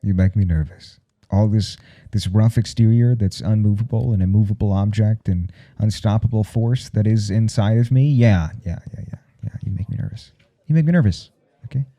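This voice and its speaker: A smitten male professor in an intimate ASMR roleplay. His voice is low and very dark, with a gravelly creak. He talks haltingly and coyly, stammering with nerves. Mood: nervous, intense. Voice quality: husky, dark low murmur. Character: nervous, smitten male professor confessing forbidden attraction. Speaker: audio roleplay performer.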